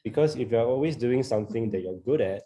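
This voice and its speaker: A man from Singapore with a Singaporean accent, sharing his thoughts in a relaxed group conversation on a video call, often laughing and filling pauses. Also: medium pitch. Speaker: co-organiser of a discussion group.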